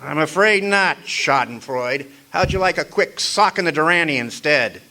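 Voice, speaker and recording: A man's voice: a little high, lively intonation, a little slow, sharp tone, heavily acted, low-quality recording. A man playing a cocky hard-boiled private detective in a comic old-time radio drama, slangy and sardonic. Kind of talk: 1940s-style comic radio detective acting.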